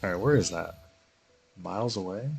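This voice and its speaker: A man's voice: low-pitched, normal speed, creaky, darkish tone, breathy edge, faint music behind. A man livestreaming a video game with casual, offhand commentary, muttering and repeating words.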